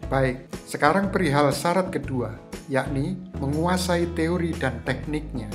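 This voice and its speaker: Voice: medium pitch, a little slow. A presenter gives scripted instructional narration in a didactic manner, putting rhetorical questions to the listener. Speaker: host of a screenwriting tutorial. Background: guitar music.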